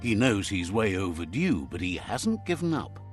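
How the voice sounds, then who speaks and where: A man narrating a game's story calmly, like a storybook, and relaying what a creature says. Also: on the low side, normal speed. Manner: calm storyteller, translating a creature's speech. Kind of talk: scripted storybook narration for a game. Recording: game audio with faint music behind.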